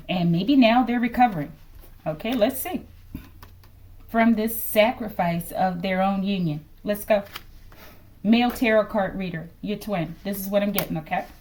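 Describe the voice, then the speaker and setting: A woman giving a casual tarot reading to her viewers, musing aloud and often ending phrases low as she addresses them. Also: darkish tone. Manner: musing, repeatedly addresses listeners as collective, trails off low at line ends. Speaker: tarot reader.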